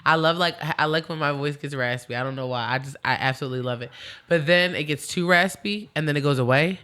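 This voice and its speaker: Bright chatty lady hosting a podcast, laughs a lot, says stuff twice. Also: low voice, fast, clear, resonant tone. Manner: chatty, laughs often, repeats herself. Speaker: podcast host. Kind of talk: casual podcast chat.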